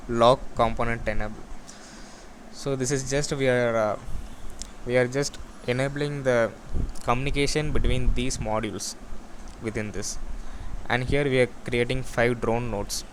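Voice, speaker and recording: A man with a medium-pitched voice presents a technical project in an unscripted walkthrough. He explains haltingly, often restarting and repeating phrases. A faint layer of background noise sits behind his voice. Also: flat delivery, low-quality recording. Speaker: student or developer presenting a project.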